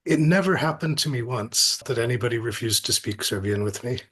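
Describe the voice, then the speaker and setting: Middle-aged man, thoughtful and halting. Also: medium pitch, expressive, fairly bright tone, husky. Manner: thoughtful, halting, often repeats words. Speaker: academic researcher, interview guest. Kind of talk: reflective interview answers with anecdotes.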